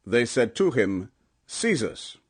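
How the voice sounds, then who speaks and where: A man's voice: medium pitch, very animated, slow, a little mellow, breathy edge. A man narrating scripture as a scripted audiobook, in a solemn, emphatic storytelling manner.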